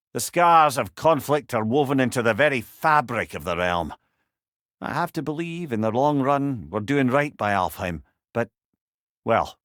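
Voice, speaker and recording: Old Scottish male sage, wry storyteller, video game companion, animated intonation, dry close recording. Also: medium pitch, no room sound. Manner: wry, storytelling, folksy turns of phrase. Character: wise, wry, chatty old talking head, companion and guide.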